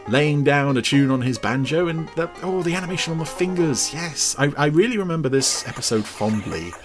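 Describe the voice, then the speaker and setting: A man's voice: fairly high pitch, animated, brisk, over music. A man giving rambling, enthusiastic podcast commentary over cartoon clips. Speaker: podcast reviewer.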